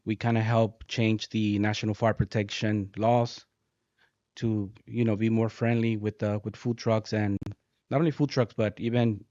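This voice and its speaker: A low-voiced man from Mexico in his forties, speaking as an interview guest in a soft, hesitant way, filling pauses with uh and um. The audio is dry and slightly dull, close and without room sound. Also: dry recording. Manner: soft-spoken, hesitant, many fillers. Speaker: guest, head engineer. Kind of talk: casual interview talk.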